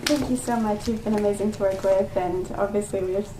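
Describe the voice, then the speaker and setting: A woman's voice: medium pitch, fairly even intonation, brisk, a loud crowd. A young woman giving an informal thank-you speech in a noisy, echoey room. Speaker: student theatre member giving thanks.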